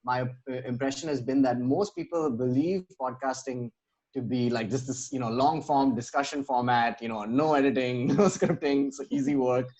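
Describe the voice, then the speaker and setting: A man's voice: mid-range voice, animated, moderate pace, a little mellow, dull audio. A man with an Indian accent, chatting casually as a podcast host and interviewer.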